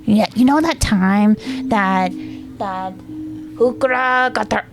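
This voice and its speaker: A woman's voice: medium pitch, slow. Woman playing a tabletop game over music. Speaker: player in a tabletop roleplaying game. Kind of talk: casual improvised in-character game chat. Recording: music behind the voice.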